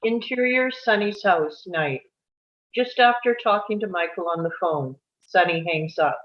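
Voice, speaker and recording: A woman's voice: lowish voice, unhurried. A woman reading scripted stage directions as the narrator of a table read, on dull lo-fi audio.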